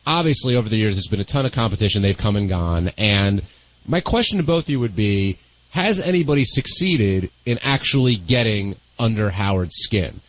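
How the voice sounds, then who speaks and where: Male moderator, lowish pitch, conversational question-posing host style, dull lo-fi recording. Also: expressive, normal speed. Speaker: discussion moderator.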